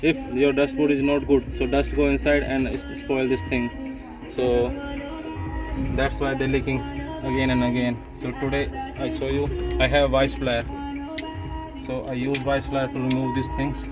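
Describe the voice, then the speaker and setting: Indian-accented man, even-toned, over music. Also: medium pitch, moderate pace. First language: Punjabi or Hindi. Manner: simple non-native English with repeated phrases. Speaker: repair channel host, machinery mechanic. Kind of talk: hands-on repair tutorial, casual explaining. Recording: music playing behind the voice.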